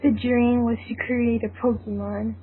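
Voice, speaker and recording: A woman's voice: fairly high pitch, level tone, unhurried. A woman reading scripted fan fiction narration as an amateur narrator. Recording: tinny, band-limited, noisy amateur recording.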